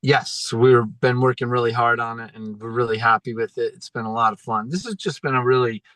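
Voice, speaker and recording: A man's voice: medium pitch, fairly even intonation, brisk, fairly bright tone. A man guesting on a podcast as a filmmaker and musician, easygoing and appreciative in casual chat.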